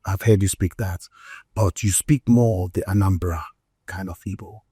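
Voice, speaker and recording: A man's voice: low-pitched, normal speed, warm tone, slightly rough, no echo, studio quality. A male host interviewing an actor, asking follow-up questions and talking directly to viewers.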